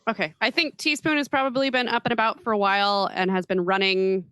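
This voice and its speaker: A woman takes part in a tabletop roleplaying game, moving casually between describing actions and talking in character. Her voice is bright and crisp in tone, with a dry, close sound. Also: medium pitch, fairly even intonation, quick pace, no room sound.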